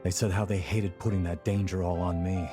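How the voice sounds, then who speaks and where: A man voicing a world-weary, loyal warrior in a video game, talking in a measured, casual and earnest way. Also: sits low, flat delivery, over music, lightly acted. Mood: reflective, resolute. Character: world-weary, loyal male revenant warrior searching for lost friend.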